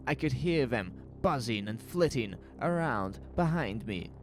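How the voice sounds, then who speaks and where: A man's voice: mid-range voice, expressive, unhurried, pitched above the performer's own voice, natural voice. Man performing an exhausted male fugitive, urgent, insistent, desperate, audio drama, music underneath. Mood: desperate, shaken. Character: exhausted, desperate man who escaped an alien invasion. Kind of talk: audio drama character acting.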